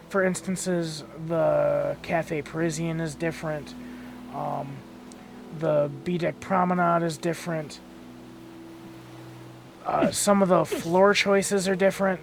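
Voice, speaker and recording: A young man with a gravelly, hoarse voice, tired and just woken up, casually narrating a gameplay tour from his bedroom, swearing freely and tripping over and correcting his words. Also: fairly high pitch, a little hiss or hum. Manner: stumbles and corrects own words. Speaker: channel host touring a build. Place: bedroom at home.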